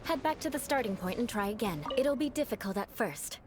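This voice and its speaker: A woman's voice: on the high side, expressive, a little fast, over music, lightly acted. A woman playing a poised, haughty young noblewoman who instructs formally in an anime video game. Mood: gently critical.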